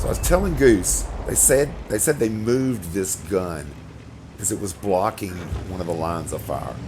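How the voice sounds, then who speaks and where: A middle-aged man with a crackly voice, rambling through casual game commentary from his home setup. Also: low voice. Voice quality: crackly, gravelly voice. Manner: rambling, repeats words, swears when annoyed. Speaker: gaming video host. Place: home room, indoors.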